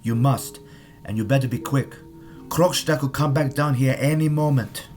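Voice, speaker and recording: An actor playing an earnest wartime weapons scientist in an audio drama, speaking solemnly and insistently. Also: male voice, mid-range voice, normal speed, over loud music, natural voice. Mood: urgent.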